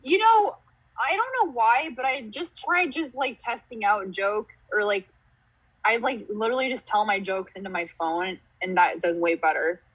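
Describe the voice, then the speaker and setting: A young woman, a comedian guest on a podcast, talks in a giggly, rambling way, full of "like" and laughter. Her voice sits a bit high and bright, with a husky, breathy edge. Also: moderate pace, highs cut off. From born in Romania, raised in Canada and the US. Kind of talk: casual podcast chat.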